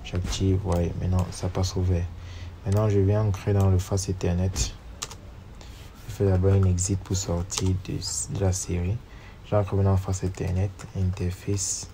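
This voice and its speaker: Low, flat-voiced man with a cold. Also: normal speed, noisy background. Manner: steps through commands aloud, spelling out numbers. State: has a cold. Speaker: networking instructor. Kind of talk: screen-recorded tutorial walkthrough.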